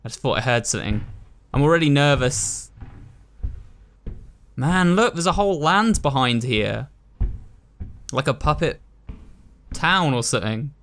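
A man's voice: medium pitch, animated, a little slow, long pauses, a bit bright. A British man giving chatty, casual commentary while playing a horror game, addressing his viewers as host. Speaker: gaming video host. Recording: voice over game music. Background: ominous game music.

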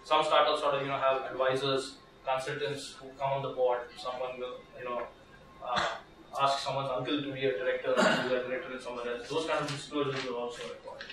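A man's voice: mid-range voice, level tone, fairly quick. A man in his thirties with an Indian English accent, giving a conversational legal workshop talk with many restarts and fillers. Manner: conversational, lots of restarts and fillers, gestures while standing. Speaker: workshop speaker, lawyer. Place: small seminar room with projector. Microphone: far camera, no mic. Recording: echoey room from far off, background noise.

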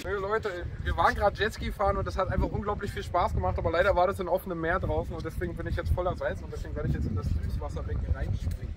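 A young man with a high voice vlogs in casual, excitable slang. He talks quickly, outdoors into a phone with clear background noise. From Berlin, Germany. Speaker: vlogger. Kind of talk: casual chat to camera. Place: outdoors on holiday, by beach, pool and roads. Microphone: phone at arm's length. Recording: noisy outdoor phone recording.

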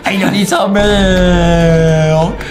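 A young man hosting a gaming video with playful reaction commentary, excitable and exaggerated, often repeating his phrases. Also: sits high, extremely slow. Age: young adult. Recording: music behind the voice. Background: background music.

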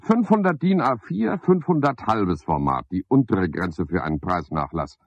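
An actor playing a garrulous, self-pitying and sanctimonious porter, rambling theatrically on the telephone in a 1970s German radio play. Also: male voice, on the low side, lively intonation, moderate pace, slightly rough, no echo. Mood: fussy, officious. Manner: theatrical, rambling one-sided telephone talk with sudden swoops. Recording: dry, slightly dull 1970s radio recording.

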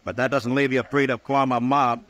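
An American actor playing a steady, stern town marshal in an old radio western, speaking in a measured, laconic way. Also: male voice, mid-range voice, a crowd in the background, natural voice. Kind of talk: mid-century American radio western acting.

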